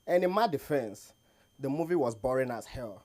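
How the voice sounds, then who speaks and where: A man with a Nigerian accent telling stories as a stand-up comedian, deliberately, at an open mic. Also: medium pitch, animated, darkish tone. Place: small open mic comedy show with audience.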